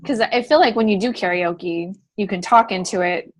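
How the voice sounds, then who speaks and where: A woman's voice: mid-range voice, normal speed. A woman in a casual planning meeting, agreeable and thinking aloud.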